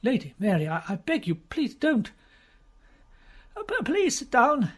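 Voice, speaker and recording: A woman's voice: a little high, wildly swooping pitch, unhurried, husky, pitched above the performer's own voice, exaggerated voice. A woman voicing a flustered, polite male detective who speaks hesitantly and stammers.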